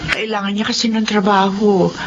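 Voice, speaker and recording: A woman's voice: mid-range voice, level tone, moderate pace, close to an everyday voice. An actress playing a kindly woman who owns a tricycle and apologetically lets her driver go, in a Filipino radio drama. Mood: apologetic. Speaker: tricycle owner. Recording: dull, noisy radio drama audio.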